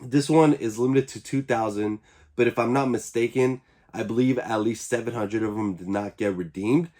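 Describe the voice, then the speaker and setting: A man's voice: medium pitch, normal speed, warm tone. A young man with a stuffy, congested voice, chatting enthusiastically as he hosts a casual unboxing vlog. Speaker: YouTube collector channel host.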